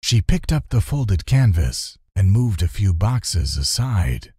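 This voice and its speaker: A male audiobook narrator with a deep, very husky, mellow voice. He reads children's fantasy in a measured storytelling style, recorded close and dry. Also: sing-song, no echo.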